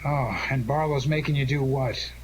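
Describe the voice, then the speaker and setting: A man's voice: medium pitch, moderate pace, a little hiss or hum, lightly acted, low-quality recording. A man playing a cocky, wisecracking amateur sleuth in a 1940s-style radio detective drama, breezy and tough-talking. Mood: brisk, wry.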